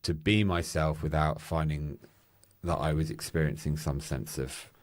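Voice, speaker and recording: A loud, exuberant and flamboyant man, the podcast's co-host, talking candidly in casual chat on a studio mic. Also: extremely low pitch, fairly even intonation, moderate pace, dry recording.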